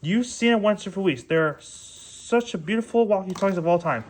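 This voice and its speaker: A man's voice: high-pitched, expressive, moderate pace, slight background noise. A man reviewing and unboxing toys in a casual, rambling way, often stumbling and correcting himself. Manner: rambling, stumbles over words and restarts often. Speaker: toy reviewer.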